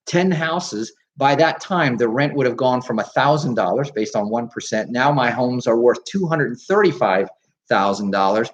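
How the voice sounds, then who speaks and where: A man hosting a live radio talk show, with an energetic, salesy way of talking and frequent fillers. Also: medium pitch, highs cut off. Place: radio studio.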